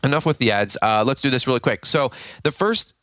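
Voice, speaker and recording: A man hosting his own podcast in a casual, chatty way, a bit raspy and tired, with many fillers and restarts. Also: medium pitch, brisk, fairly bright tone, no room sound, studio quality. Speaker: podcast host. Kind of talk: casual solo podcast talk.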